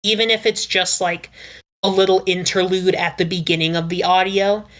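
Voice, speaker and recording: A woman speaking as a podcast panelist, giving opinions casually in a measured, qualifying way with frequent "like". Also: fairly low pitch, flat delivery, a bit bright.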